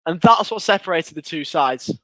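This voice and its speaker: A young English man hosting a live fan watchalong, commentating on a match and reading out viewer comments, excitable and chatty, his voice going hoarse. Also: fairly high pitch, moderate pace, no room sound, low-quality recording. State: losing his voice.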